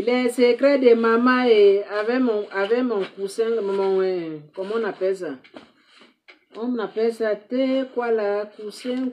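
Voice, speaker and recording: A woman's voice: fairly high pitch, darkish tone. A woman hosting an advice video, lecturing her viewers in an emphatic, scolding way and repeating herself for effect. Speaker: advice-giving host. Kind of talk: moralizing monologue to viewers.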